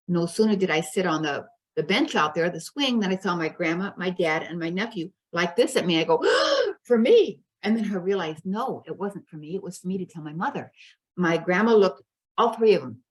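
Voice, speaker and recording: Woman podcast host, casual and affirming, low-pitched. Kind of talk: casual chat.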